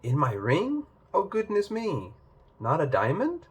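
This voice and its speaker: A man's voice: on the high side, wildly swooping pitch, a little slow, breathy edge, pitched above the performer's own voice, full character voice. A man voicing a dainty, fussy, vain young princess in a storybook read-aloud. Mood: dismayed.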